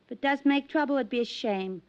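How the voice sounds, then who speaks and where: A woman's voice: sits high, fairly quick, lightly acted. An American woman acting a tough, wary, streetwise showgirl in a 1950s radio drama, brassy, slangy and guarded. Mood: confiding. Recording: dull, band-limited old radio recording.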